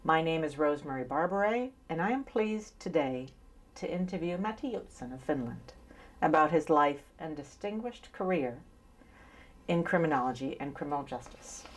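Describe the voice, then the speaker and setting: A woman's voice: sits low, lively intonation, normal speed. A middle-aged woman introducing an interview in a formal manner, reading from notes. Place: small indoor interview set.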